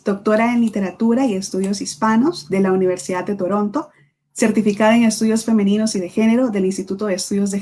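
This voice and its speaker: A woman, presenting an event and reading formal speaker introductions in a measured way, with small self-corrections. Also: medium pitch, darkish tone.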